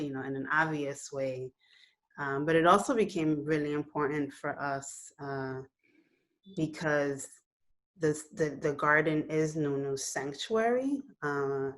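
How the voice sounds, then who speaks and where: Low-voiced woman filmmaker on a video call. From Puerto Rico, raised in New York City. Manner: thoughtful, many fillers like "you know" and "um"; gestures with her hands while talking. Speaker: filmmaker being interviewed. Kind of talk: reflective casual interview answers. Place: living room at home. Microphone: laptop webcam on a video call.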